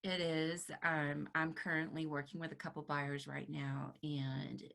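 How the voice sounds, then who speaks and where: A woman with a Texan accent, chatting lively and expressively as a real estate agent on an interview panel. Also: deep voice, creaky. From upstate New York, raised in Texas. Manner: lively and expressive, quick to laugh. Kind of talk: casual interview chat.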